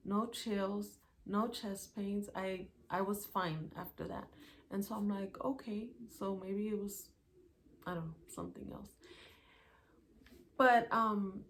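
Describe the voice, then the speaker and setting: A young woman speaking straight to her viewers in a casual vlog, telling things in order and pausing on small fillers as she checks her notes. Her voice sits in a middle range, with a faint hiss of background noise under it. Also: medium pitch. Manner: chatty, recounts day by day with fillers, reads from notes. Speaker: YouTuber.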